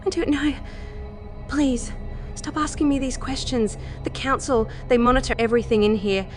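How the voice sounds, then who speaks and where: A woman's voice: high-pitched, normal speed, natural voice. A woman playing a fearful, guarded scientist in an audio drama, with a flustered, clipped way of talking. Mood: anxious. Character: harried, fearful, guarded female scientist on Mars. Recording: voice over loud music.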